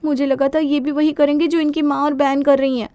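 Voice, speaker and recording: A woman's voice: sits high, level tone, a little fast, dark tone, no echo, lightly acted. A woman voicing an aggrieved, stubborn young wife in a cartoon moral story, complaining sulkily. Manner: complaining, sulky.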